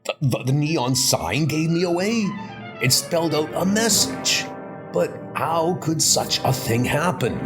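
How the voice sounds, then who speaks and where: Guy doing a freaked out convict, stutters and yells, voice jumping way up, comic dub with music. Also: fairly high pitch, expressive, unhurried, pitched above the performer's own voice. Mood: panicked. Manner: stammering, exclamatory. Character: panicky escaped male convict, desperate small-time crook.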